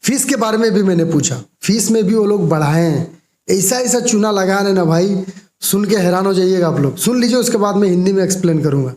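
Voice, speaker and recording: A man's voice: sits high, fairly quick, a little mellow, echoey room. A young Indian man giving informal, emphatic explanatory commentary to viewers as a vlogger. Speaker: vlogger commenting on a call recording.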